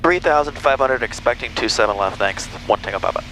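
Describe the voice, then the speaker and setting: A man's voice: mid-range voice, lively intonation, fairly quick. A man working the radio as a pilot, giving clipped readbacks and casual asides over a headset in a small plane. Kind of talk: aviation radio readbacks and cockpit chat. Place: small airplane cockpit in flight. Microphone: aviation headset. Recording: cockpit intercom with engine noise.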